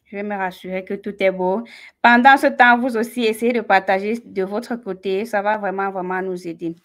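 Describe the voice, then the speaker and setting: Cameroonian woman on a livestream telling her story, animated, mid pitch, talks right to the viewers. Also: moderate pace. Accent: Cameroonian French. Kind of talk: live-stream personal storytelling.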